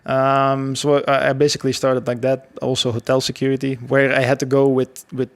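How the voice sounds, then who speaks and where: A man, telling his story as a podcast guest in a casual, halting way. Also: medium pitch, monotone. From Belgium. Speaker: podcast guest, security professional.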